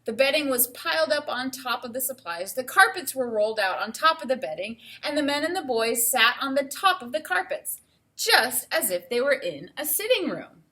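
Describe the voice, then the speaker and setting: Woman, primary-teacher read-aloud: slightly high pitch, very bright tone, expressive and keen, explains things to young listeners. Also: moderate pace. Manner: enthusiastic, explaining to children. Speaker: teacher reading a picture book aloud. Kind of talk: expressive children's read-aloud with instructional asides.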